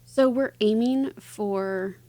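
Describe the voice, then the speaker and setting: A woman speaks as an author being interviewed, in a casual, conversational style. Her voice sits at a middle pitch. The recording is dry, with no real room sound. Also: slow pace, warm tone, no room sound.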